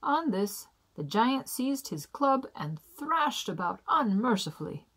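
A young adult woman reading a children's fairy tale aloud in a deliberate, careful storybook manner. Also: a little high, very animated, fairly bright tone, husky. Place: small indoor room.

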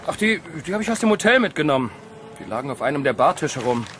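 Actor as animated young man, horror audio drama. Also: a little high, fast talker, noisy background. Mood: astonished. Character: young man, excitable, astonished friend on a weekend adventure.

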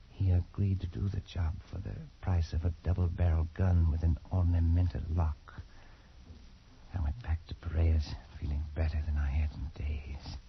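An actor playing a haunted but defiant colonial adventurer, telling his tale with tense dramatic pauses in old radio style. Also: low-pitched, slight background noise. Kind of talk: 1940s radio acting, dramatic first-person narration. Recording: dull old radio recording, faint music.

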